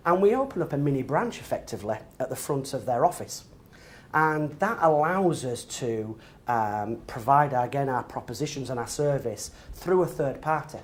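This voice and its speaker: Halting corporate presenter, a man. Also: medium pitch. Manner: halting, many ums and repeated "and". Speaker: building society executive presenting to members.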